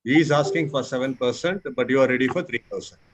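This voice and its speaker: Indian-accented man mentoring, halting delivery. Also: mid-range voice, background noise. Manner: halting, repeats words, checks with listeners. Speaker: public speaking mentor leading a session. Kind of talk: interactive mentoring talk with spoken examples.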